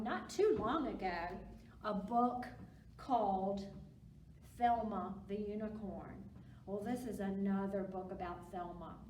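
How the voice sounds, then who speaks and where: A woman reading aloud to young children at a storytime, with simple, encouraging, expressive delivery. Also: medium pitch. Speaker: librarian storytime reader. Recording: roomy, distant mic with background noise.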